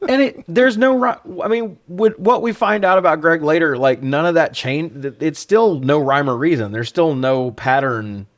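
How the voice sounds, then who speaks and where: A man's voice: a little high, moderate pace, hardly any pauses, no room sound, studio quality. A man co-hosting a casual film review, recapping scenes with sarcastic, sweary banter. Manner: sarcastic, profane. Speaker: podcast co-host reviewing films.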